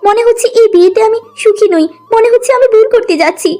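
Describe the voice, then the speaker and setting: A woman acts a tender young village bride in a cartoon moral tale. Her voice is extremely high and quick, earnest and full of emotion. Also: mellow, faint music behind. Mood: fearful. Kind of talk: cartoon moral story acting.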